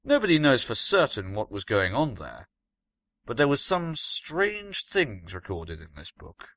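Man voicing a portentous, animated learned countryman. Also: mid-range voice, a little slow, no echo, exaggerated voice. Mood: ominous. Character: learned, mysterious countryman, grave and knowing.

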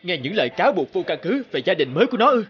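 A man's voice: high-pitched, big pitch swings, very fast, lo-fi sound. A man voicing a protective, distressed adoptive father in a cartoon, protesting with emotion. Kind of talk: animated cartoon dubbing.

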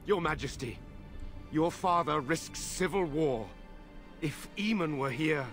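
A man's voice: on the high side, animated, slow, exaggerated voice. An actor playing a defiant, principled nobleman in a fantasy game, speaking formally and pointedly. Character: defiant, principled noble lord challenging a regent. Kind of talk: dramatic fantasy game acting. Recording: loud music behind.

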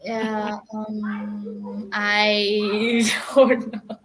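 Very slow, hesitant young woman. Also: mid-range voice, completely flat delivery, a crowd in the background, rough recording. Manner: hesitant, brief answers. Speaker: panelist, a student. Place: indoors, on a video call.

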